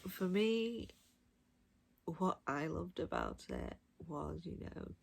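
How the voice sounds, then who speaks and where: A woman's voice: low voice, huge pitch swings, slow, creaky, a little mellow. A woman giving a casual, chatty book review with gushing, emphatic enthusiasm. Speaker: audiobook reviewer.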